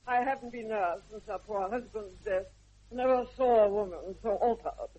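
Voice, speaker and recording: An actress playing an imperious, snobbish middle-aged English aristocratic aunt in a 1960s radio comedy, with haughty, grand emphasis. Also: female voice, medium pitch, moderate pace, dark tone, husky, lightly acted. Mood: haughty, gracious. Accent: upper-class English. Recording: slightly dull vintage radio recording.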